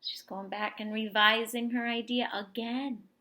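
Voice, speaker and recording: Slow-paced, higher-voiced woman reading to children. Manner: animated, explains and questions for young children. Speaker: teacher reading a picture book aloud. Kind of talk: expressive children's story read-aloud with asides to listeners.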